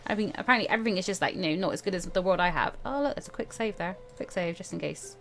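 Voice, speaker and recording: A woman's voice: on the low side, lively intonation, fast talker, gravelly, fairly bright tone, faint music behind. A woman doing casual playthrough commentary on a visual novel, chatty and full of asides as she reacts to the story. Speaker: let's player reading a visual novel aloud. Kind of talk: casual playthrough commentary with read-aloud dialogue.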